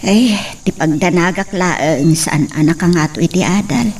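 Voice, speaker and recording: A woman's voice: lowish voice, moderate pace, darkish tone, natural voice. A middle-aged woman with an Ilocano accent playing an anxious provincial mother. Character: worried provincial mother of a grown son. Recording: distant mic with faint noise. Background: crickets and insects.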